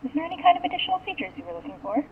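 A woman's voice: very high voice, very animated, very fast, slight background noise. A woman working as a customer service agent, talking politely to a caller over the phone. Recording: thin, tinny phone line.

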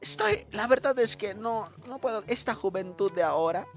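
A young man performing a weary, scolding schoolteacher with a Bolivian accent in a comic radio monologue, halting and repeating himself in exasperation. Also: high voice, animated, soft background music. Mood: exasperated. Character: weary, scolding schoolteacher given to rambling lectures.

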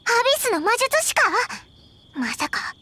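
A woman's voice: very high voice, sing-song, unhurried, bright, forceful tone, exaggerated voice. A woman voicing a small, brash, inquisitive fairy companion in an anime-style game, exclamatory and boyish in wording. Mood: puzzled, suspicious. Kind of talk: Japanese anime-style game dub acting. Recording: music behind.

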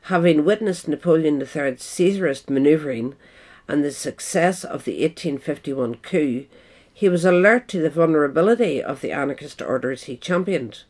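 Male narrator, slightly high voice, formal and measured delivery for a written essay. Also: expressive, a little mellow. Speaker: reader.

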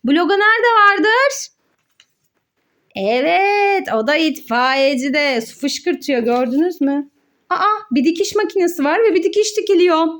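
A woman's voice: extremely high pitch, animated, a little slow, fairly bright tone. A mother hosting a children's learning game, speaking in a sing-song, exclaiming way as she asks questions and answers them. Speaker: mother hosting a children's educational play video. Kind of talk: child-directed play narration with questions and answers.

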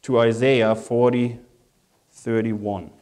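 A man's voice: mid-range voice, little pitch movement, slow pace, darkish tone. A man with a German accent, a native German speaker, preaching a sermon as a pastor in a reflective, conversational way, repeating phrases for emphasis.

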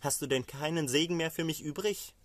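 A man's voice: a little high, brisk, a bit bright, dry recording. Man playing a bitter, pleading elder son. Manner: pleading, indignant.

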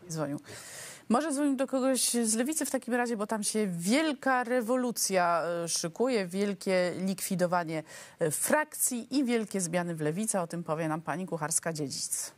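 A woman's voice: medium pitch, animated, moderate pace. A woman in her forties co-hosting a satirical politics show, talking ironically from a TV studio with a lapel mic and many fillers. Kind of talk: studio commentary chat.

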